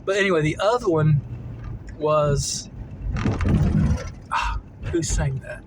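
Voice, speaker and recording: Middle-aged man, American accent, slightly high voice, casual storytelling, halting and meandering. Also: heavy background noise. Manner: halting, meandering, thinks aloud. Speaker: vlogger telling personal stories. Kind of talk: casual rambling reminiscence. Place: camper van.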